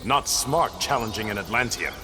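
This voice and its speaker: A man's voice: fairly low pitch, expressive, moderate pace, very noisy, close to an everyday voice. An actor playing a proud, stern warrior king of an undersea realm in a video game, with a regal, imperious manner. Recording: loud music behind the voice.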